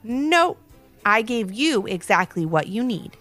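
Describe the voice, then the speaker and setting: A kindly, knowing ice cream man from a children's storybook, voiced by a woman reading aloud. He speaks calmly and a little slowly, with a light, lilting voice. Soft music sits underneath. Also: on the high side, exaggerated voice.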